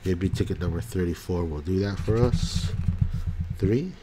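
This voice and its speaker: A man's voice: low-pitched, noisy background. A man with a congested voice from a cold, calling out numbers one by one as he scratches lottery tickets. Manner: slow, calls out numbers one by one. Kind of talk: casual commentary while scratching tickets.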